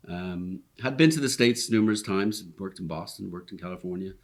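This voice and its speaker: A man's voice: sits low, brisk. A man in his fifties with a Dublin Irish accent, a restaurant owner telling his story in an easygoing, rambling way with plenty of ums. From Ireland. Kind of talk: casual interview chat. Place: inside one of his restaurants.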